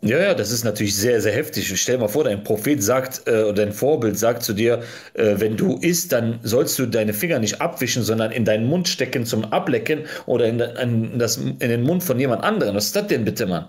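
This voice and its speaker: A man's voice: mid-range voice, expressive, fairly quick, few pauses. A man in his thirties hosting a livestream, talking casually and preaching about religion. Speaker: livestream host. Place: small room at home.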